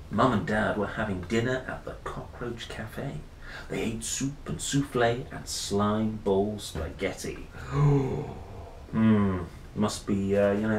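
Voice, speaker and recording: A man reading a children's story aloud in a playful, theatrical way, with casual musing asides. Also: on the low side. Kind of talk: expressive picture-book read-aloud with casual asides. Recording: roomy echo, faint noise.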